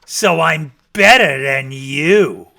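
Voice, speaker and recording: A man's voice: on the high side, takes it slowly, creaky, sharp tone, heavily acted. A man voicing a cocky, snappish little rabbit in a children's story, bragging with great emphasis. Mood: boastful. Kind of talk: theatrical children's storybook reading.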